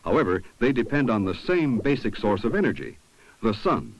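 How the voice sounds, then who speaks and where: Deep-voiced man narrating an old educational film. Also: very animated. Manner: measured, instructive delivery. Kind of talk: scripted 1970s documentary narration. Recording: dull, noisy 1970s film soundtrack.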